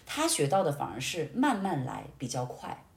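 A woman's voice: sits low, wildly swooping pitch, normal speed, vocal fry. A woman talking expansively and reflectively as an interview guest, illustrating her points with anecdotes. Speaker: podcast guest. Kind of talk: reflective conversational interview talk. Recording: roomy, echoey sound.

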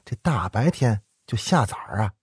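A man's voice: lowish voice, very animated, moderate pace, breathy edge, no room sound, heavily acted. A man voicing a bossy, mocking senior servant in an audio story, brash and teasing. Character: bossy, mocking male senior servant, servile to his master.

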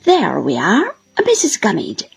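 A woman voicing a warm, kindly middle-aged fisherman who talks in folksy, rustic turns of phrase. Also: medium pitch, wildly swooping pitch, unhurried, creaky, husky, heavily acted. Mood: hearty.

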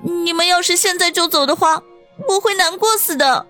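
A clingy young woman in a piercing high voice, begging through tears, as a male audiobook narrator puts her on. Soft faint music plays behind her. The falsetto sits far above the reader's own register. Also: moderate pace. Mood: desperate pleading. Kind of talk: audiobook character voice.

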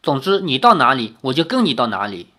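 A man voicing a spirited, pampered young woman skilled in martial arts, speaking in a raised, softer voice. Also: mid-range voice, big pitch swings, a little fast. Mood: stubborn, playful.

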